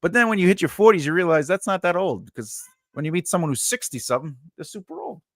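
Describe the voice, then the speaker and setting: A man's voice: high voice, expressive, fast, fairly bright tone, no room sound, studio quality. A man in his forties, a writing teacher and editor, chatting casually and rambling with laughs during a live Q&A. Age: 40s.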